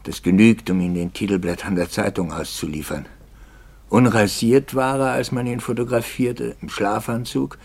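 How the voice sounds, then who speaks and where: An actor playing a troubled, anxious priest in a 1950s German radio drama, brooding and articulate, moving between hushed and impassioned speech. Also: male voice, lowish voice, fairly even intonation, normal speed, gravelly, breathy edge. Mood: bitter, impassioned. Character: troubled, anxious priest, intense and probing, quietly bitter.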